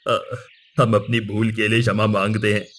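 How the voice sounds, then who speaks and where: Low, even-voiced stern king played by a man. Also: moderate pace. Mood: surprised, regretful. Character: stern, dignified king and father, middle-aged. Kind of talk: Hindi cartoon moral-story dialogue.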